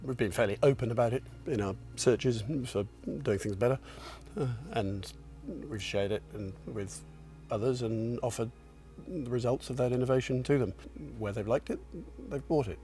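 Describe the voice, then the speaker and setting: A man in his sixties answering interview questions in a reflective, modest way, pausing and restarting as he thinks. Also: medium pitch, mellow, faint music behind. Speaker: company founder and chairman.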